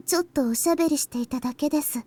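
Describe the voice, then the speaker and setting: A woman's voice: sits high, rapid-fire, dark tone, soft background music, lightly acted. A woman voicing a shy, demure young student in a Japanese visual novel. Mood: coy, demure.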